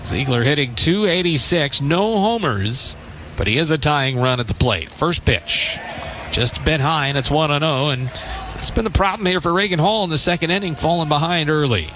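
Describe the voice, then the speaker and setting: Higher-voiced man calling baseball over clear noise. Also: expressive, bright, forceful tone. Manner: unhurried between pitches, lifting on action. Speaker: baseball play-by-play announcer. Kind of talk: live radio sports commentary. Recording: clearly noisy outdoor broadcast ambience.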